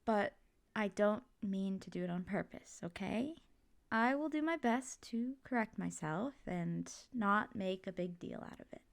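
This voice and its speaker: Woman acting as a chill supportive mom, slow easy pace, jokey and protective, comfort roleplay. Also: medium pitch, vocal fry. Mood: gentle, reassuring. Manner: casual, reassuring, jokey, gently expressive. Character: supportive, playful, protective mother of a teenager. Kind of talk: ASMR comfort roleplay, one side of a conversation.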